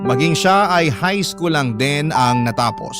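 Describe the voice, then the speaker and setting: A man's voice: on the high side, lively intonation, measured pace, over loud music. A man with a Filipino accent narrating a young mother's weary, regretful letter in a wistful, confessional style.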